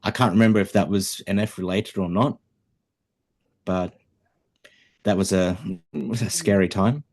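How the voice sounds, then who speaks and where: Deep-voiced man, hesitant. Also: measured pace, a little mellow. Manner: hesitant, many ums, dry humour. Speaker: podcast co-host. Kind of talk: casual chat.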